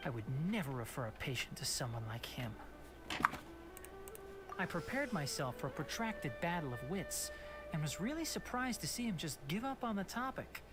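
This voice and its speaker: An actor playing a calm, principled male doctor in a video game, speaking in a measured, polite and firm way. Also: on the high side, over loud music. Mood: reflective. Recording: game audio with clear background hiss.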